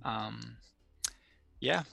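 Bright-toned man, thoughtful. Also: mid-range voice, creaky, no echo. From Democratic Republic of Congo. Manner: thoughtful, pauses often with ums. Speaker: guest. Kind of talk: casual interview answers.

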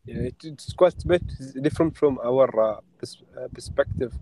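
A man from Oman speaking in a casual chat, curious and asking many questions, with halting phrasing. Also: mid-range voice, mellow, poor audio. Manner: curious, asks many questions, halting non-native English. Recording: online call audio with faint noise.

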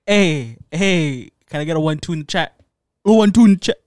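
Teasing young man, slightly high, dry. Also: lively intonation, brisk, clean tone, no echo. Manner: teasing, joking, loud swings. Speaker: streamer. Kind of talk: casual chat.

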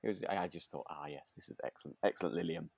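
A man's voice: very deep voice, very fast, gravelly, darkish tone, breathy edge, no room sound. A man co-hosting a podcast, chatting casually in a hesitant, rambling, agreeable way. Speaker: podcast co-host. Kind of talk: casual chat about a radio drama.

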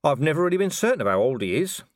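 A man's voice: a little high, big pitch swings, racing, no room sound, clean studio sound, pitched above the performer's own voice. Man acting a blunt, sardonic, exasperated commander. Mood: irritated, guarded. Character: gruff, blunt, sceptical male commander. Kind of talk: audiobook character dialogue.

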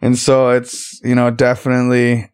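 A man's voice: medium pitch, monotone, normal speed, darkish tone, smooth. Laid-back man rambling in a casual chat. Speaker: podcast host.

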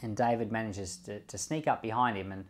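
A man's voice: lowish voice, fairly even intonation, a little fast. A pastor giving conversational bible commentary and closing in prayer, often repeating words as he thinks aloud, with the odd light chuckle.

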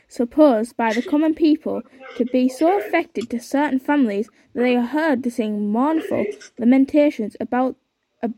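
A woman's voice: a little high, dark tone, no room sound. A young woman hosting a podcast, chatting casually and reading aloud with lots of fillers and unsure asides. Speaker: podcast host.

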